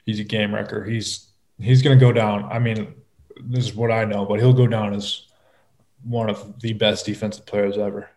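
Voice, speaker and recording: A young man talking casually as an interview guest, relaxed and rambling, with plenty of fillers and restarts. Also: lowish voice, normal speed. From Minnesota. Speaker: guest, pro football player.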